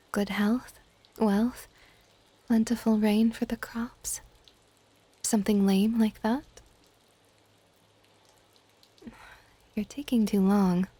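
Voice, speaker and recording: Soft, slow-spoken dragon goddess, played by a woman. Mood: impatient, musing. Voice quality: soft, breathy whispering mixed with low-volume speech. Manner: doting, teasing, unhurried. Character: possessive, affectionate serpentine dragon goddess, older woman, informal. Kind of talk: ASMR audio roleplay, soft whispery intimate delivery.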